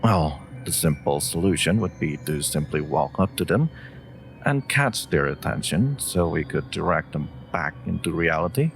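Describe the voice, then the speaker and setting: Man playing a deep, haughty wolf familiar. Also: animated, creaky, faint music behind, heavily acted. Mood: dry, concerned. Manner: dry, smug, sharp-tongued, deliberate. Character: dry, haughty, clever male wolf familiar of a mage. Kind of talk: scripted audio roleplay acting.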